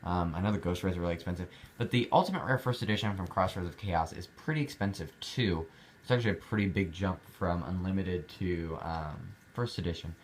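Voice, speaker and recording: Young adult man, deep low voice, easygoing hobbyist vlog chat, rambling delivery. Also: fairly quick, a little hiss or hum. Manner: chatty, rambling, with many fillers and restarts. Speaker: card collector showing his cards.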